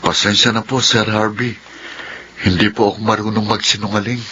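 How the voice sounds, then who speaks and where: A man's voice: lowish voice, level tone, moderate pace, pushed and bright. An actor playing a meek, honest young male subordinate, timid and deferential, in a radio drama. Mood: nervous, resolute. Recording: dull radio audio with faint noise.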